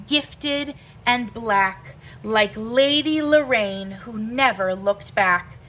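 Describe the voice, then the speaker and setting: A woman reading a rhyming children's picture book aloud like a teacher, with expressive, deliberate and emphatic phrasing. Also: fairly high pitch, slight background noise.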